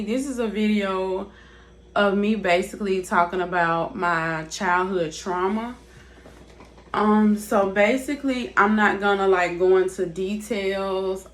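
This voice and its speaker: Casual, rambling young woman vlogger. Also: mid-range voice. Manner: casual, rambling, repeats herself, leans on "basically" and "like". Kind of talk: candid personal vlog talk. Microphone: device's built-in mic, not her usual microphone.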